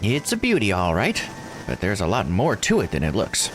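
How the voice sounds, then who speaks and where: A man voicing a kindly old island grandmother in a visual novel, folksy, unhurried and dryly joking. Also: on the low side, huge pitch swings, over music, pitched above the performer's own voice. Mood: fond, calm. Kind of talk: visual novel character read aloud.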